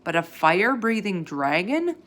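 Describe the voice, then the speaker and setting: A woman reading a children's book aloud as a storyteller, expressively and with animated emphasis. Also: mid-range voice, normal speed. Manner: animated emphasis, acts out character dialogue.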